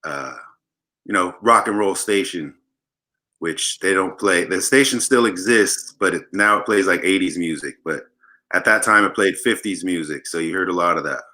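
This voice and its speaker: Man from Los Angeles, low and very bright, playful and jokey guest. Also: normal speed. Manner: joking, playful, rambling storyteller. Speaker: guest bassist. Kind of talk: casual interview chat.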